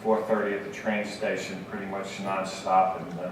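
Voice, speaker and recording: Hoarse raspy middle-aged man in echoey hall. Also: deep voice, flat delivery, recorded from a distance, background noise. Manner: measured, many "uh" pauses. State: hoarse, losing his voice. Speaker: state senate candidate. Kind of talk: campaign speech at a forum. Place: campus event hall.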